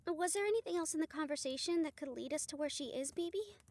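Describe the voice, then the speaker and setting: A woman's voice: high-pitched, quick pace, darkish tone, natural voice. A woman playing a concerned, exasperated young woman in an audio drama, casual, emphatic and affectionate. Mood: pressing concern. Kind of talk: audio roleplay drama acting.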